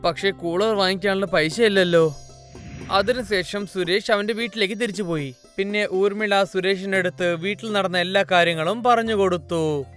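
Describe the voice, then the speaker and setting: High-voiced man narrating a cartoon story. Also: moderate pace, fairly bright tone, faint music behind. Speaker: narrator and voice of several characters.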